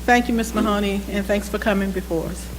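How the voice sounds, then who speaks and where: A woman chairs a board meeting in a public chamber, reading procedures aloud in a measured, formal way at a desk microphone. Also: medium pitch, fairly even intonation, slight background noise, poor audio.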